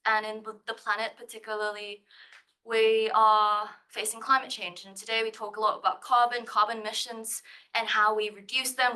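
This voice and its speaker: Young woman from Thailand, presenting, nervous, giggly, playful, slightly high, very bright. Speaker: science writing student presenting thesis. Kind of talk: live presentation to an audience. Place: lecture room.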